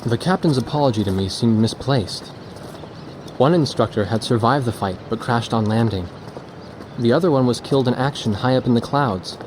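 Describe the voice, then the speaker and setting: An actor playing a thoughtful war photojournalist, narrating past events in a measured, reflective way for a game. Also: male voice, medium pitch, noisy background, natural voice. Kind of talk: scripted cinematic narration.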